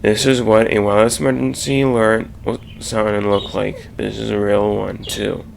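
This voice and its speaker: A man with a heavily creaky, gravelly voice explains things as the presenter of an informal slideshow. His delivery is halting, with restarts mid-sentence. The amateur voice-over has faint noise behind it. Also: fairly low pitch, little pitch movement.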